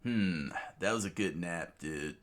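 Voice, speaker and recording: A man's voice: low-pitched, a little slow. A man voicing a laid-back, sleepy young hero who talks like a surfer dude.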